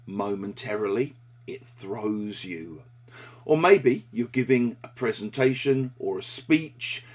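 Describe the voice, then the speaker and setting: A man presenting a short English lesson, explaining a phrase in a measured, clear teaching manner. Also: mid-range voice.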